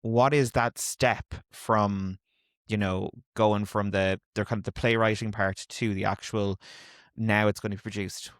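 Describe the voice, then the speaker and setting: A man's voice: lowish voice, fairly even intonation, unhurried, no echo, clean studio sound. A man hosting a casual, conversational interview. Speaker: interviewer, podcast host.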